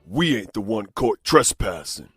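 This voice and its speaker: A man playing a surly, streetwise drug-lab thug in a comic dub, rough-voiced, slangy and confrontational. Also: on the low side, sing-song, a little slow, fairly bright tone, dry recording, studio quality, heavily acted. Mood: surly, taunting. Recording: faint music behind the voice.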